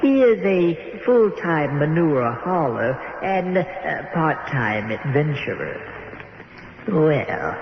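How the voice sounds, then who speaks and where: An actor playing a creepy morgue attendant who narrates old-time radio tales in a hesitant, courteous, drawn-out way. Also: male voice, on the high side, full character voice. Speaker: host and narrator. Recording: noisy, muffled, heavily reverberant radio sound.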